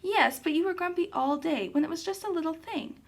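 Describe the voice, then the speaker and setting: A woman voicing a patient but firm mother in a children's puppet show, gently scolding and instructive. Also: very high-pitched, a little fast. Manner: instructive, admonishing.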